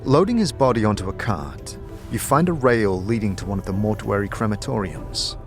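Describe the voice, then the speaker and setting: A man's voice: fairly low pitch, expressive, normal speed. A man giving dramatic, scripted second-person narration for an audio drama as narrator and game master. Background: music.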